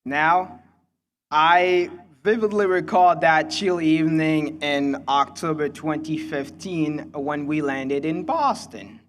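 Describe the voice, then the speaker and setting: Young Congolese-accented man, higher-pitched, speechmaking. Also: slow pace, a bit bright. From Kinshasa, Democratic Republic of the Congo. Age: young adult. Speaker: student commencement speaker. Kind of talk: prepared graduation speech.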